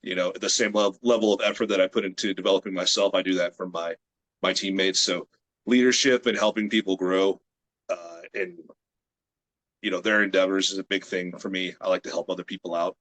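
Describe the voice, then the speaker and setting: Guest, male, late 30s, from the US, lowish voice, relaxed and meandering, lots of fillers. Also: a little fast, poor audio. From United States. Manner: relaxed, rambling with many fillers. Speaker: guest, grip strength athlete. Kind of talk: casual interview chat. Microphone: video call.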